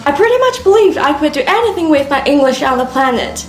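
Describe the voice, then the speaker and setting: A woman's voice: high voice, very animated, quick pace. A young woman blogger whose first language is Chinese, telling a scripted story in English with a wry, self-aware delivery. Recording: echoey room with background music.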